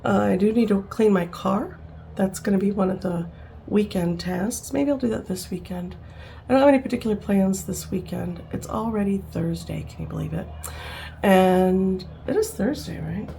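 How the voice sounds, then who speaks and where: A woman's voice: medium pitch, moderate pace, gravelly, dark tone, a little hiss or hum. A woman in her fifties, rambling and chatty, talking casually to camera at home, with frequent laughs and fillers. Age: 50s. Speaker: vlogger.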